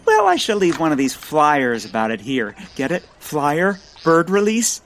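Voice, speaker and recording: Man playing an animated, eager Victorian gentleman. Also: a little high, a little slow, exaggerated voice. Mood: eager, hopeful. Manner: pompous, chirpy, flowery wording. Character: cheerful, eager, naive Victorian gentleman bird enthusiast. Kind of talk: scripted comedy audio drama.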